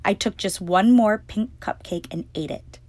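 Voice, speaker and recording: A woman hosting storytime, reading a children's picture book aloud with expressive, clearly phrased delivery. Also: mid-range voice, measured pace, no room sound. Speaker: storytime reader and host.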